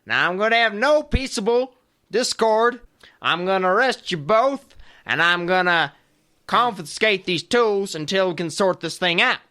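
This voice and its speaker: A man's voice: high voice, animated, moderate pace, sharp tone. A man playing a folksy, exasperated old west lawman keeping order in a comedic radio play.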